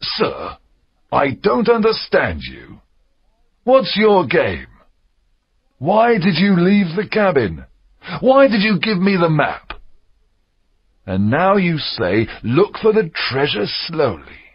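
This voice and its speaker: A man voicing a loud, wily pirate leader in an audiobook, with emphatic, swooping delivery. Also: a little high, wildly swooping pitch, slow pace, long pauses, breathy edge, dull audio, pitched above the performer's own voice, exaggerated voice. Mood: suspicious. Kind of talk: audiobook character acting.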